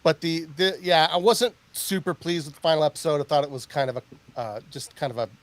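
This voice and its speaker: A man's voice: fairly high pitch, brisk. A man co-hosting a podcast in casual chat, rambling and searching for words with lots of fillers. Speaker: podcast co-host.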